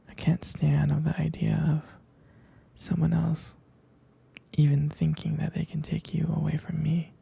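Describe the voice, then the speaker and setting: A man playing a jealous, protective and doting boyfriend in an intimate roleplay ASMR, speaking softly and hesitantly in whispers. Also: fairly high pitch, vocal fry, husky, heavily acted. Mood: devoted, pleading.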